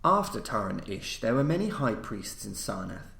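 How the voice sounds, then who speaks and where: A man reading a scripted horror story as a podcast host, in a formal, measured storytelling delivery. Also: on the low side, sing-song, creaky, fairly bright tone. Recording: roomy, echoing voice recording.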